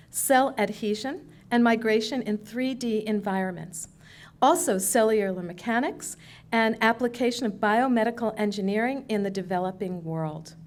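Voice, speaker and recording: A woman introducing a speaker at an event, reading steadily and trailing off softer at phrase ends. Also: fairly high pitch. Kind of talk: read introduction. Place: lecture hall.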